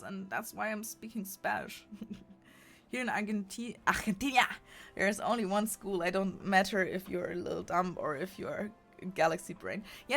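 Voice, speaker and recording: A woman's voice: mid-range voice, fairly bright tone. A young woman with a German accent chatting casually on a game stream, rambling and often restarting and correcting herself. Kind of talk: casual chat.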